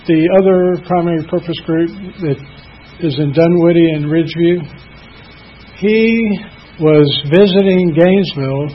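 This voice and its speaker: A man chairing a recovery meeting, reading a set passage in a measured way and then introducing the speaker. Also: fairly high pitch, slightly rough. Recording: muffled lo-fi recording.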